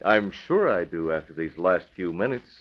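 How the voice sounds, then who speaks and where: An actor playing a confident, stern masked vigilante in an old radio drama, clipped and sardonic. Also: male voice, low-pitched, animated, normal speed. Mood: menacing, sardonic. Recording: muffled vintage radio recording.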